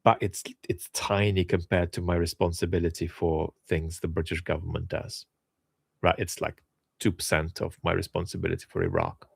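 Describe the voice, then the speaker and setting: A man from Russia who left as a child, answering viewer questions in a casual, thoughtful talk with many pauses and restarts. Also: low-pitched, vocal fry, husky, dry recording. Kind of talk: casual Q&A monologue.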